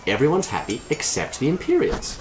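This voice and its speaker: A man's voice: medium pitch, huge pitch swings, a little fast, noisy background. A man playing a cocky, wisecracking smuggler pilot in an audio drama, glib and self-assured. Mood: confident pitch.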